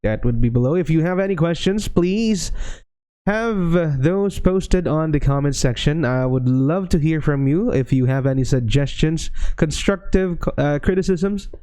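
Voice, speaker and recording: A man with a Filipino accent giving relaxed gameplay commentary as a channel host, talking hesitantly with many fillers and restarts. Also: on the high side, moderate pace, clear, resonant tone. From Philippines.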